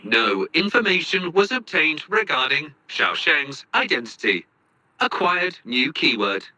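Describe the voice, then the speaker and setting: An earnest game robot with a very bright, sharp voice. It speaks in formal, literal announcements, pitched a little high and moving freely up and down, with music underneath. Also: male voice, very animated, unhurried, exaggerated voice, poor audio. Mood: matter-of-fact. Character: earnest, literal-minded helper robot with formal machine speech. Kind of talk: video game voice acting.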